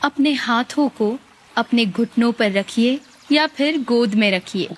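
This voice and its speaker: Female meditation guide. Slightly high register, markedly unhurried, calm and gently instructive with lots of space between phrases.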